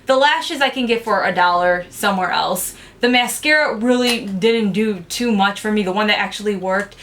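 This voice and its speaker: A woman's voice: mid-range voice, moderate pace, fairly bright tone, a little hiss or hum. Chatty young woman reviewing makeup. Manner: chatty, frank, filler-heavy with "like". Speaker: beauty vlogger. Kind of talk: casual makeup review to camera.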